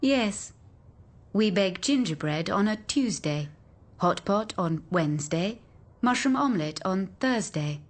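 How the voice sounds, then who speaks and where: An actress playing a serene, courteous and oddly ageless housewife in a radio drama. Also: female voice, mid-range voice, expressive, measured pace. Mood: placid. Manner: placid, formal, courteous. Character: serene, polite, uncannily ageless housewife and mother.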